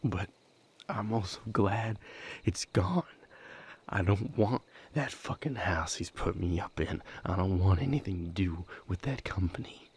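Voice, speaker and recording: Man acting a low, gravelly, wounded young man. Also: a little mellow, a little hiss or hum. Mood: shaken, resolute. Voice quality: breathy, gravelly low murmur. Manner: halting, confessional, broken phrases with long pauses. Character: bitter, wounded young man breaking from a manipulative vampire patriarch. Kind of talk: intimate audio roleplay monologue.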